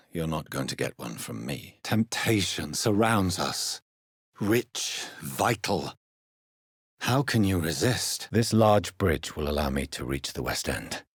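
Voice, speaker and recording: A principled, brooding English gentleman doctor turned vampire in dramatic video game style. The voice is very low and breathy, recorded close and dry. His delivery is formal and earnest, with a wide, expressive swing in intonation. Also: no echo, heavily acted. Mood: cold, menacing, then tempted, slow, then pensive. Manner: formal, measured, earnest, intense. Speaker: video game lead character voice.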